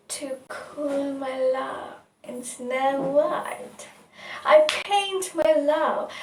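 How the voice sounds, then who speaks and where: A woman reciting her own poem in a dramatic, deliberate way, with pauses between phrases. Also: high-pitched, darkish tone. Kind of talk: poetry recitation. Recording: echoey room, distant mic.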